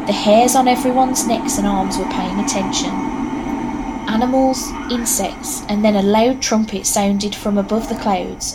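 A woman's voice: medium pitch, noisy background, close to an everyday voice. A woman narrating a horror audio drama as a wry storyteller. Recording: faint outdoor ambience behind.

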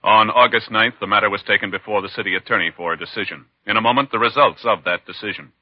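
A man's voice: lowish voice, fast, dry recording. A man doing formal scripted announcing in a 1950s radio style. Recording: muffled 1950s radio broadcast. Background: music.

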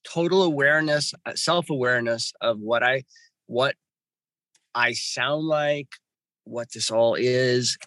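A man in his fifties from Los Angeles tells his life story in a loose, rambling way, full of fillers and restarts. His voice sits at an ordinary middle pitch and sounds dry and close. He speaks from home over a video call. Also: pushed and bright, no room sound. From Los Angeles, California. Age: 50s. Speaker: guest. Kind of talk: podcast interview storytelling. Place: home with birds nearby.

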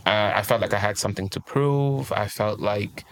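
A man talks in a low, gravelly voice. He shares his story in a reflective, open way, like a guest on a casual interview podcast. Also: moderate pace. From Trinidad and Tobago. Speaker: guest life coach.

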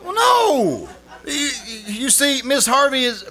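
An actor playing a befuddled, stammering husband in a 1940s-style radio comedy. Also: male voice, very high voice, wildly swooping pitch, takes it slowly, bright, forceful tone, heavily acted. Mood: astonished. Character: Dick, flustered, stammering young-hearted radio-hobbyist husband.

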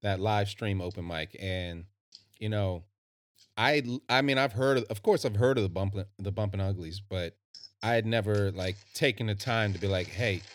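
A man, a musician guest, telling stories in an easygoing, casual way with drawn-out fillers. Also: fairly low pitch, dry recording. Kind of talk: casual interview chat.

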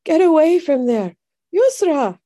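Woman reader doing scared dad from a fantasy story, mellow voice, fearful. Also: medium pitch, fairly even intonation, takes it slowly. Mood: panicked. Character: frightened, shaky-handed father in a fantasy story.

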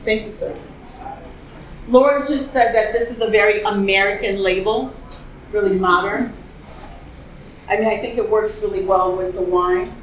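A woman's voice: medium pitch, normal speed, long pauses, slight background noise. A woman hosting a wine tasting in a casual, enthusiastic way, asking the group questions as she goes. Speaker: host leading a wine tasting. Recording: echoey room with faint ambience.

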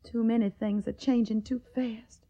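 High-voiced, earnest young Southern woman. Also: fairly even intonation, moderate pace, mellow, dull audio. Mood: upset, tender. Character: young Tennessee woman in Civil War, tender, troubled, stubborn. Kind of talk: radio drama acting.

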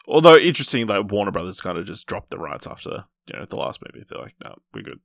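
Low gravelly guy cohosting a movie podcast, casual chat, really dry close sound. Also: wildly swooping pitch, quick pace, a bit bright, no echo. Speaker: podcast host reading film news. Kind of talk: casual chat with read-out film news.

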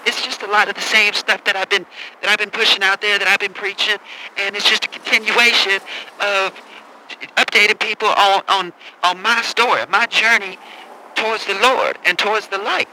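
A man's voice: sits high, steady tone, normal speed, sharp tone, slight background noise, poor audio. A man playing a pious, rambling preacher who speaks nervously and politely. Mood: earnest. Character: earnest, rambling male preacher promoting his book. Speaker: pastor and author.

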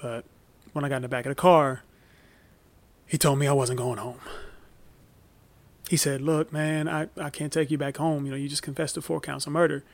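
A male actor playing a wrongly imprisoned young man telling his story in a halting, reflective monologue for a documentary audio drama. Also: a little high, steady tone, gravelly. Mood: shaken, reflective.

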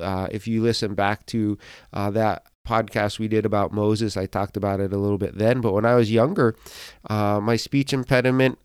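A man who pastors and hosts a podcast, speaking with a slight speech impediment, pausing often and repeating words as he talks through a devotional thought. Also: on the low side, smooth, dry recording, studio quality. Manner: halting, many fillers and repeated words. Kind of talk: reflective devotional podcast talk.

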